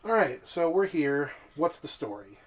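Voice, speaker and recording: A man's voice: a little high, very animated, measured pace, rough recording. An actor playing a skeptical, teasing man exploring an old building, with casual, reactive audio drama delivery. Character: skeptical, teasing male urban explorer with recorder. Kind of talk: naturalistic audio drama dialogue.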